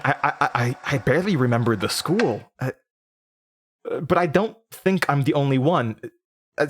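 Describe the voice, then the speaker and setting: An actor playing an anxious, rattled man who haltingly recounts eerie memories, stammering and interrupting himself, in a horror audio drama. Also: medium pitch, fairly bright tone. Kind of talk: first-person horror audio drama monologue.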